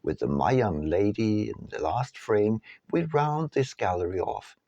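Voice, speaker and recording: Male author-narrator for audiobook, lowish gravelly voice, deliberate phrasing, lively intonation. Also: no echo, dull audio. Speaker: author reading own book. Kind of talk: audiobook-style scripted narration.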